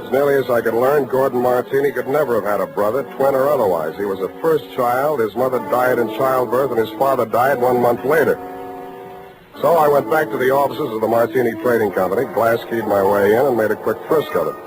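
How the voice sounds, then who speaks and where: An actor playing a tough, wry, world-weary private detective in a 1940s radio drama, speaking tersely on a noisy vintage recording. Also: male voice, medium pitch, a little fast, over music, natural voice. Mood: dry narrating. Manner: hard-boiled, terse, wry delivery.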